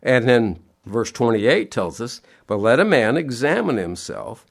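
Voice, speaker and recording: A man's voice: mid-range voice, sing-song, measured pace, creaky. A middle-aged man preaching a sermon, sounding nasal and sniffly from allergies, earnest and repeating his key words in plain homespun phrasing.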